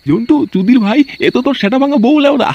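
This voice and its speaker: A man's voice: very high-pitched, lively intonation, quick pace, dull audio, heavily acted. A man voicing a sly, lecherous, boastful schemer in a crude comedy cartoon, talking in a conspiratorial way. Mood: lustful, scheming. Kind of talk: amateur comedy cartoon dub. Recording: faint noise behind the voice.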